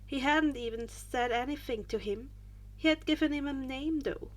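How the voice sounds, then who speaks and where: High-voiced woman reading a story aloud. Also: normal speed, poor audio. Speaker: fan fiction audiobook narrator. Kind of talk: amateur scripted story reading.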